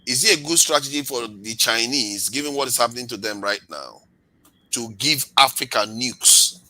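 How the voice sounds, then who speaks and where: A man in a casual online discussion, asking questions and making his points in a measured way. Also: medium pitch, sharp tone, dry recording, low-quality recording.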